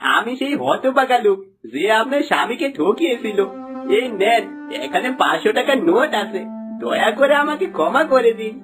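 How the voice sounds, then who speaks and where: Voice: medium pitch, sing-song, moderate pace, a bit bright. Actor as greedy male villager, plaintive, remorseful, begging, cartoon folktale, music underneath. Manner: plaintive, pleading. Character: greedy villager man, remorseful, pleading, self-pitying. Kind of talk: Bengali moral folktale cartoon dialogue.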